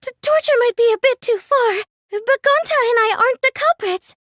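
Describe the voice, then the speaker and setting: A woman's voice: very high voice, normal speed, a bit bright, no echo, clean studio sound. A woman voicing a plain, meek, nerdy teenage girl in an anime mystery video game, speaking in a mild, hesitant, questioning way. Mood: anxious, defensive. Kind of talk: anime video game English dub acting.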